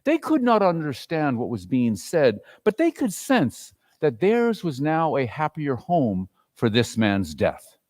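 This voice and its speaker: Storyteller reading an old classic, measured but with lots of pitch movement. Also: wildly swooping pitch, a little mellow.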